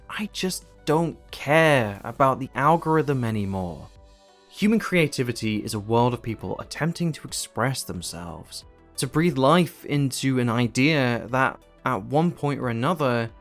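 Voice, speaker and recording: A man narrating a scripted video essay in an earnest, emphatic and casual way, as the host of his channel. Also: medium pitch.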